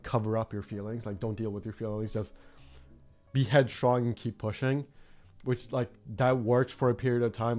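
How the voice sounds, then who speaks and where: A man's voice: fairly low pitch, darkish tone. A young man from Norway chatting on a drawing livestream, rambling and reflective with many fillers and restarts. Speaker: art streamer. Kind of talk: casual chat while drawing on a livestream.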